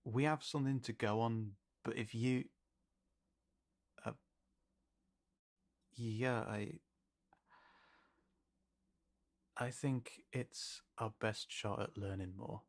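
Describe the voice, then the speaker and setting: A man playing an easygoing, gently supportive fantasy companion in an audio roleplay, speaking softly and haltingly. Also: mid-range voice, fairly even intonation. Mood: tentative, gentle. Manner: halting, soft-spoken, reassuring. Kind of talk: intimate audio roleplay acting.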